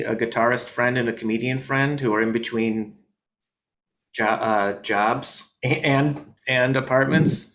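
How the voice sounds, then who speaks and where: A man as a comedian guest in a casual video-call interview, rambling with deadpan jokes and many fillers. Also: medium pitch. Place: his home in New York.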